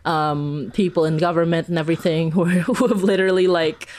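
A woman's voice: lowish voice, little pitch movement, fairly quick, a little mellow. A woman talking casually as an interview guest, informal and giggly, peppering her sentences with "like". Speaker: interview guest, podcast creator.

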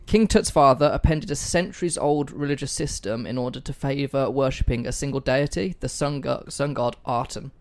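A man's voice: mid-range voice, moderate pace, hardly any pauses. Jokey man hosting a podcast. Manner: jokey, self-deprecating, swears casually. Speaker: podcast host. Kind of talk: casual history podcast chat. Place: room at home with pets and food on the table. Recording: dry, poor-quality home mic.

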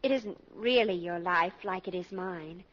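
A woman's voice: on the low side, measured pace. A woman in a 1940s radio drama playing an eager, lovestruck college girl of seventeen, earnest and chatty. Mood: confiding. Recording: dull vintage radio recording.

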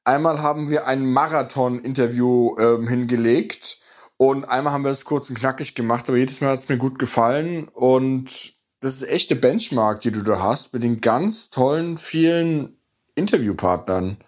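A man's voice: medium pitch, normal speed. A man giving an informal congratulatory message, chatty and appreciative. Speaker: author and former podcast guest.

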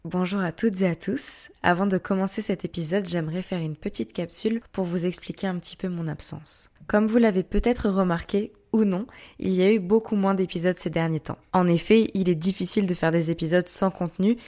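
A woman's voice: lowish voice, fairly even intonation, fairly quick, a little mellow. Young woman hosting a podcast. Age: young adult. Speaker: podcast host. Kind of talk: casual podcast monologue.

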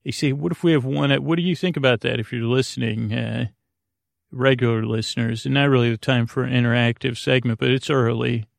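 A man hosting a podcast in a rambling, unscripted bedtime monologue, halting and stammering as he keeps losing his thread. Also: mid-range voice, steady tone, gravelly, no echo, studio quality. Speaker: podcast host.

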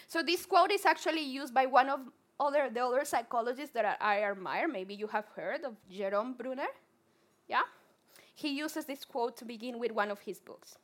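Colombian woman psychologist, high and bright voice, earnest talk, restarts words. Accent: Colombian Spanish. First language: Spanish. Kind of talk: public talk to a live audience.

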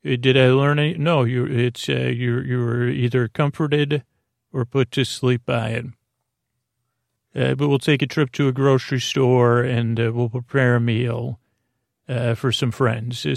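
A man hosts a bedtime podcast in a creaky, mid-pitched voice. His delivery is lulling and friendly, wandering through circular tangents with halting phrasing. The sound is dry, with no room echo. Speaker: podcast host. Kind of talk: rambling bedtime sleep podcast monologue.

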